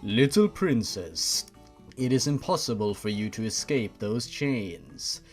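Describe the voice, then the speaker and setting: A man voicing a smug, cruel, gentlemanly demon captor in a horror fan fiction, with a slow, condescending, taunting manner. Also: on the low side, lively intonation, soft background music, voice pushed down. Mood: smug, menacing. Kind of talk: creepypasta fan fiction character reading.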